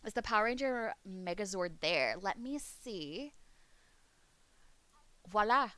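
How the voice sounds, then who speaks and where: Woman streamer, casual rambler, voice fading hoarse, mid pitch, dry close mic. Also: expressive, slow pace, bright, forceful tone, no echo. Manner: rambling, laughs often, reads chat aloud. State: losing her voice. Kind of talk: casual live-stream chat. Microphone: desk mic on a webcam stream.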